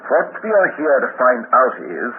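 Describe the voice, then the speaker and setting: An actor playing a shrewd, courteous police inspector in a 1950s radio drama, asking clipped, probing questions. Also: male voice, mid-range voice, very animated, moderate pace, natural voice. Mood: serious, firm. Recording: noisy, tinny 1950s radio recording.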